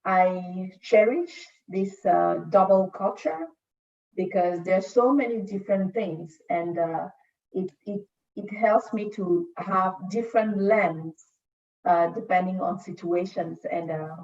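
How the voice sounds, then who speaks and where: A woman with a French-speaking Cameroonian accent talks reflectively and haltingly about herself. Her voice is on the low side and creaky. She sounds distant on a video call. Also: darkish tone. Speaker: panelist working on diversity and inclusion. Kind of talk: personal introduction on a panel. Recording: distant, dull lo-fi call audio.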